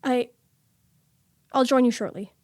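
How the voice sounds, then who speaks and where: A woman's voice: on the high side, a little slow, a little mellow, dry recording, studio quality, close to an everyday voice. A woman playing a grieving, determined young writer in a radio play, earnest and formal. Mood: defensive.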